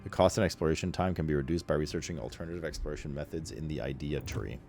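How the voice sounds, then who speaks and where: A man's voice: extremely low pitch, quick pace, a little mellow. A man doing casual, slangy and sweary video game commentary, sometimes reading game text aloud. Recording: game music behind the voice. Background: video game music.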